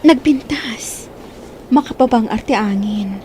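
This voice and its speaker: A woman's voice: on the high side, slow, dark tone, slight background noise. A woman playing a sweet, timid young housemaid in love in a radio drama. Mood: dreamy, loving. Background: music.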